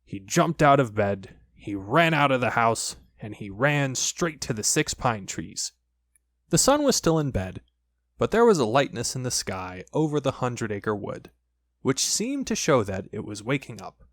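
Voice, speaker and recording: Man reading a kids story, expressive and measured, medium pitch that moves a lot, very dry sound. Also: no echo. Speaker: audiobook narrator. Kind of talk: scripted children's story narration.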